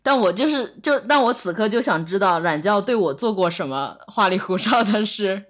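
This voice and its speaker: A woman's voice: medium pitch, lively intonation, normal speed. A woman hosting a podcast in casual chat, playful and bantering. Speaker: podcast host.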